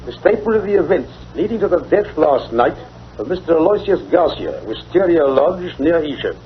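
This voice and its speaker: Stern English inspector, highish voice, clipped and official, vintage radio play. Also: male voice, very animated, normal speed, slight background noise. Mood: grave. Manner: formal, clipped, blunt. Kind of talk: old-time radio drama acting. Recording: dull lo-fi old radio recording.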